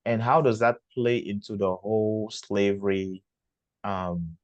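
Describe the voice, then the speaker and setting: A man's voice: on the low side, steady tone, a little slow, no echo, lo-fi sound. A man hosting an interview, asking long questions while thinking aloud and affirming the guest. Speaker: host.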